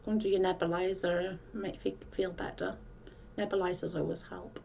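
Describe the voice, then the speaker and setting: A woman's voice: fairly low pitch, flat delivery, normal speed, vocal fry, darkish tone, dull audio, a little hiss or hum. A woman from South Wales with a Welsh accent, chatting casually on a livestream and reading out comments in a rambling way with many restarts.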